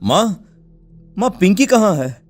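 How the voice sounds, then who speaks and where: Man as an anxious high-pitched village father. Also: very animated, slow pace, exaggerated voice. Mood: distraught. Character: anxious village father searching for his daughter. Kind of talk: melodramatic cartoon dialogue. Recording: background music under the voice.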